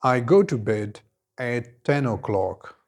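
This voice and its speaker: A man, teaching an English lesson and giving clear, deliberate model answers, each repeated in several forms. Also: medium pitch, a little mellow. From Khyber Pakhtunkhwa, Pakistan. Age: 20s.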